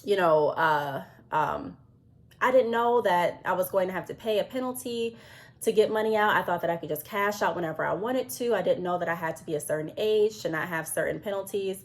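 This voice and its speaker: Congested woman giving casual advice, lowish voice. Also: brisk. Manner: chatty, frequent ums, reads from notes. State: congested, sick with a cold. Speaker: business vlogger. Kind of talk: casual advice talk to camera.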